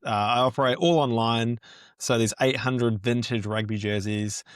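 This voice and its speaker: A man's voice: medium pitch, no room sound, studio quality. A man with a New Zealand accent, a podcast guest chatting casually and easily about his work. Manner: relaxed, easygoing, with fillers and restarts. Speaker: guest, business owner. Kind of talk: casual interview chat.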